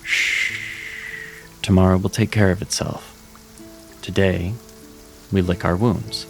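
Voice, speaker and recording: A low-voiced actor as a male mage with a creaky, gravelly edge, mentoring with patient sincerity. He speaks in measured, reassuring phrases, as in a fantasy audio drama, with music and rain underneath. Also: level tone, rough recording. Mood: soothing. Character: Seraphin, male mage, gentle earnest mentor.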